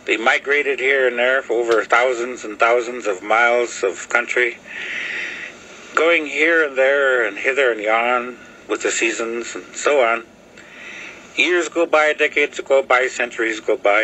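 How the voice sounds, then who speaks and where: A man whose first language is Lakota, talking casually to a video camera in halting English, often pausing with fillers as he searches for words. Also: medium pitch, sharp tone, a little hiss or hum, low-quality recording. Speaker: vlogger. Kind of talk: casual rambling talk to camera. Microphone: video camera mic.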